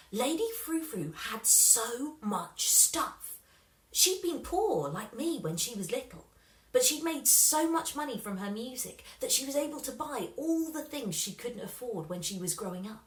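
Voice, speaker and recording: A woman narrates a children's storybook with dramatic emphasis. Her voice is fairly high and bright, moving in lively swoops. The room can be heard around her. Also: roomy sound. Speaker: storybook reader.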